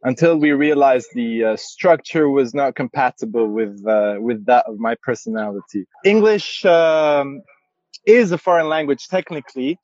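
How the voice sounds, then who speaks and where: A man's voice: medium pitch, normal speed, darkish tone, dry recording, lo-fi sound. A man who grew up speaking Arabic, a yoga teacher guest on a podcast, talking hesitantly with many restarts and easy laughs. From Egypt. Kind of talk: podcast interview.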